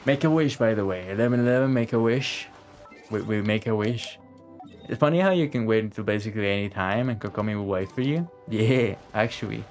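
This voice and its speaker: Male game streamer, lowish voice, casual and sweary, talking to himself over game music. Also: fairly quick. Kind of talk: casual live game commentary.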